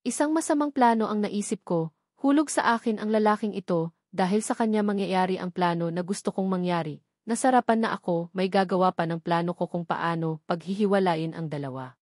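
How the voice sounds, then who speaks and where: A woman's voice: lowish voice, brisk, darkish tone, no echo, clean studio sound. A woman with a Filipino accent reading a scripted first-person story as the narrator of an audio novel.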